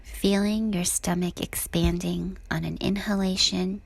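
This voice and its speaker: A woman with a soft, breathy voice guides a body scan meditation in a calm, gentle, unhurried manner. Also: lowish voice, no echo, low-quality recording.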